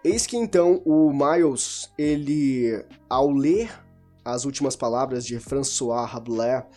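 A man's voice: medium pitch, takes it slowly, a little mellow, soft background music. A man talking informally about a novel, rambling, restarting and correcting himself as he goes. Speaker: book reviewer. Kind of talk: casual spoken book discussion.

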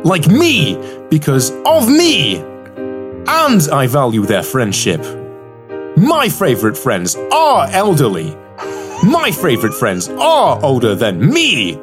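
Wry podcast host with swooping pitch. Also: wildly swooping pitch, slow pace, over music. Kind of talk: casual chat, reading poems aloud.

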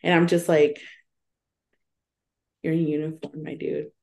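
A woman's voice: deep voice, unhurried, creaky. Chatty young woman podcast co-host. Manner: animated, laughs often, acts out quoted voices. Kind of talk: casual chat.

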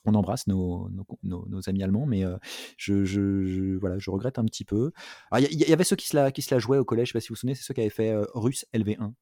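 A man's voice: lowish voice, steady tone, fast, warm tone, dry recording, studio quality. A man from France hosting a culture show in a casual, chatty, jokey way, often repeating himself.

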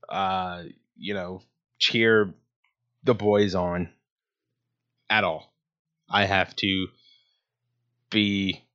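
Low-voiced guy rambling thru his sports talk show, lots of ums, kinda muffled lo-fi audio. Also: a bit bright. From Ohio, USA. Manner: rambling, many ums and restarts. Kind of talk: casual solo sports talk. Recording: dull lo-fi sound.

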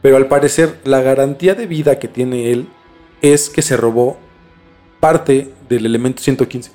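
A man's voice: medium pitch, mellow. Mexican man chatting, music behind. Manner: informal, halting while searching for words. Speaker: podcast host. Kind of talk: casual conversational storytelling.

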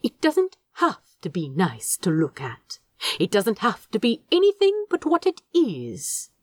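A woman voicing a gentle, anxious, prim male angel in an audiobook story, soft, fussy and earnest. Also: mid-range voice, wildly swooping pitch, measured pace, no echo, clean studio sound, voice pushed up. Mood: quietly firm.

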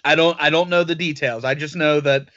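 A man co-hosting a podcast talks in loose, rambling banter, mocking himself as he goes. His voice is bright and a touch high, and it sounds dry and slightly dull, recorded close on a lo-fi mic. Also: steady tone, a little fast, dry recording. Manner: joking, rambling, self-mocking. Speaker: podcast co-host. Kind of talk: casual banter.

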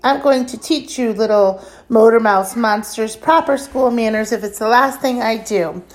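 A woman voicing a gruff, strict male drill sergeant in a storybook, scolding children in shouted, clipped commands. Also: on the high side, moderate pace, lightly acted. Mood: stern, scolding. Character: gruff, strict army drill-sergeant man berating schoolchildren. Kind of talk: acted storybook character voice.

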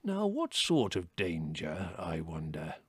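A man's voice: deep voice, wildly swooping pitch, takes it slowly, a bit bright, husky, dry recording, voice pushed down, exaggerated voice. Man acting an urbane old chief rabbit, swooping drawl. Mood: mildly condescending. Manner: drawling, over-polite, unruffled, with musing repetitions. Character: aging male chief rabbit, urbane, detached, condescendingly polite.

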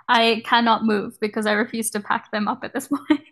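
A woman's voice: on the high side, level tone, moderate pace, a bit bright. A woman in her thirties from Kenya, chatting casually as an interview guest and laughing easily.

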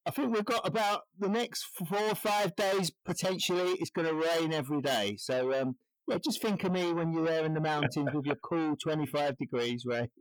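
A man's voice: on the high side, moderate pace, rough recording. A man from England hosting a podcast interview, casual and jokey, teasing his guest. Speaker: podcast host.

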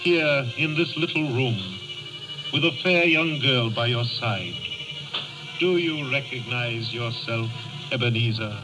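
Guy doing solemn commanding ghost, mid pitched, old radio play, music clearly behind him. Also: unhurried, lightly acted, low-quality recording. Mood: solemn, accusing. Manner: stately, commanding, interrogating. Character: solemn, probing male ghost of Christmas past. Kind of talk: 1930s radio drama acting. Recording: old radio broadcast over loud music.

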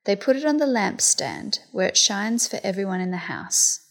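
Female lector, mid-pitched, steady deliberate liturgical reading. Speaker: scripture reader.

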